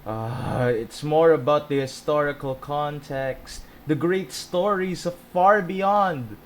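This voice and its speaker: A man's voice: a little high, takes it slowly, a little hiss or hum. A man streaming a video game, casually commentating and talking back to the characters in a teasing way. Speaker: gaming streamer.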